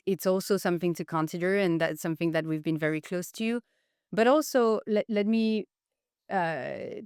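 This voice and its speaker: A woman's voice: lowish voice, clear, resonant tone, no room sound, clean studio sound. A woman speaking as a podcast guest, giving enthusiastic, explanatory answers in a conversational interview style. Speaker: podcast guest, marketing executive.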